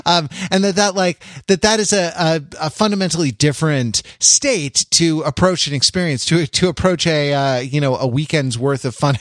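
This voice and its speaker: Halting, discursive man in his forties, dry sound. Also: medium pitch, fairly bright tone, no echo. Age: 40s. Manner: halting, discursive, self-interrupting. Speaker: podcast co-host. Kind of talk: casual analytical discussion.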